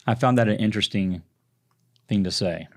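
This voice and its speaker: A man's voice: lowish voice, normal speed. A man hosting a podcast, asking questions in a casual, conversational way. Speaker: podcast host.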